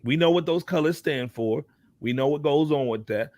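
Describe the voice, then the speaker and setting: Dry-sounding, higher-pitched man talking hip hop. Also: normal speed, no room sound. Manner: animated slangy talk with repeated check-in phrases. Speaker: talk show panelist. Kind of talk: casual hip hop commentary.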